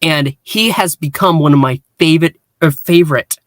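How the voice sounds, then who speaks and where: A man in a casual fan discussion, speaking earnestly and emphatically with halting pauses. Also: on the high side, a bit bright, no room sound, clean studio sound. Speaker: podcast panelist.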